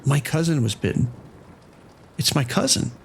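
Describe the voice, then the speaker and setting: An actor playing a worried, protective casino manager, speaking urgently in a horror audio drama phone call. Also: male voice, on the high side, big pitch swings, moderate pace, gravelly, slight background noise. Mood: uneasy. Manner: urgent, concerned.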